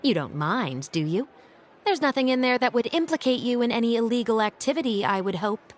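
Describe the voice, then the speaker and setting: A woman's voice: fairly high pitch, expressive, a little fast, slight background noise, lightly acted. An actress playing a stern young woman officer who speaks in a level, dryly teasing way.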